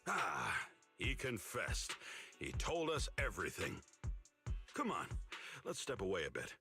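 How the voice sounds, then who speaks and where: Low, expressive easygoing master. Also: male voice, unhurried, creaky, soft background music, full character voice. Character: easygoing older male master, laid-back.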